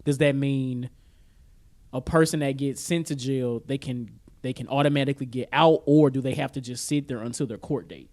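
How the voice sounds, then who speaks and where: A man co-hosting a podcast in casual chat, halting and questioning as he thinks aloud. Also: mid-range voice, steady tone, a little mellow. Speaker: podcast co-host.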